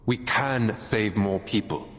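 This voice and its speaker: An actor playing an earnest, resolute military officer in a game, making a measured, formal and persuasive case to a tribunal. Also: male voice, lowish voice, fairly bright tone. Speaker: soldier defending himself at a tribunal. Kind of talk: dramatic game voice acting. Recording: long hall reverb, music behind.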